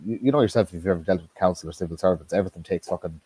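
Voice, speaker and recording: A man talking politics in a casual discussion, halting with many restarts and fillers, blunt and sometimes swearing. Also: deep voice, dark tone, slightly rough.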